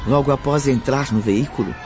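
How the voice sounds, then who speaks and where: A man's voice: medium pitch, brisk. A man narrating a radio drama from a script in a solemn, literary, dramatic manner. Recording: slightly muffled, music bed.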